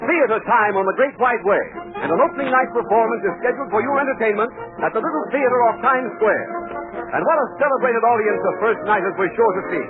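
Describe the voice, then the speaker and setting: Male 1940s radio announcer, very high projected pitch, showy and formal, over loud music on a thin recording. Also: lively intonation, fast talker, phone-quality sound.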